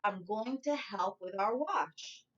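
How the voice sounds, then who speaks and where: A woman's voice: mid-range voice, lively intonation, slow pace, highs cut off. A woman voicing an earnest young girl in a storybook. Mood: caring. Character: young girl, earnest and worried about her bear. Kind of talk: storybook character voice.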